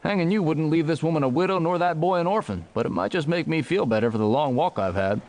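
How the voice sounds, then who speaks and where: A man's voice: on the high side, quick pace, a little mellow, a little hiss or hum, close to an everyday voice. An actor playing a stern, dry, determined armed man of the Old West with an American accent and a terse manner. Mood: dryly threatening. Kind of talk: dramatized western audiobook acting.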